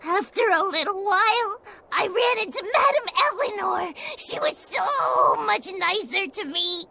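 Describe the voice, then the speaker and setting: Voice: lively intonation, a little slow, gravelly, pushed and bright, full character voice. An actor voicing a small, whiny, melodramatic spirit creature in a video game cutscene. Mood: wistful. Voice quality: squeaky, cartoonish. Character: small whining timid male spirit creature servant.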